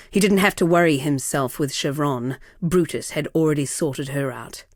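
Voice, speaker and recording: An actress playing a proud, seductive, unrepentant villainess sorceress in a video game, with a measured, theatrical, sardonic delivery. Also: female voice, lowish voice, no echo, clean studio sound, close to an everyday voice. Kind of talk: dramatic video game character acting.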